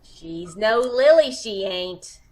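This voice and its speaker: Woman acting excitable lovesick gangster moll, swooping voice. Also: medium pitch, takes it slowly. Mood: scornful. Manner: slangy, melodramatic. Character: cute, tough, lovesick female would-be killer, excitable gangland moll. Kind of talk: old-time radio comedy-mystery acting.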